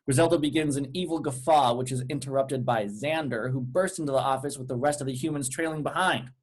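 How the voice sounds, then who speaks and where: A man's voice: mid-range voice, fairly quick, slight background noise. A man reading out stage directions as scripted narration at a table read.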